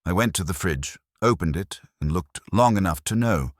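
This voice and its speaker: A man's voice: sits low, expressive, normal speed, no room sound, studio quality. A man reading a detective story aloud as a scripted audiobook narration.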